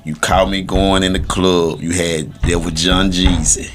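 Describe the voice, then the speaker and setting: A man's voice: low voice, flat delivery, measured pace, a crowd in the background. A man chatting casually on a podcast, reminiscing in an emphatic, slangy storytelling way. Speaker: guest co-host.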